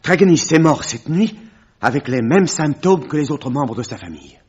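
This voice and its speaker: An actor playing a shaken, urgent village pastor in a French radio drama. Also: male voice, a little high, lively intonation, moderate pace, a little mellow, slightly rough. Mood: horrified, frantic. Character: agitated male village pastor, shaken and breathless with alarm. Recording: dull, muffled vintage radio recording.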